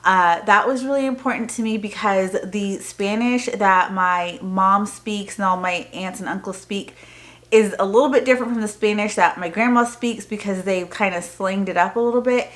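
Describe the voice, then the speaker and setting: A woman's voice: mid-range voice, normal speed, a bit bright. A woman with an American accent talking casually to camera as a homeschooling mother, chatty and explanatory, rambling a little. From Texas, United States. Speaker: homeschooling mother and video host. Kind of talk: casual vlog product talk to camera.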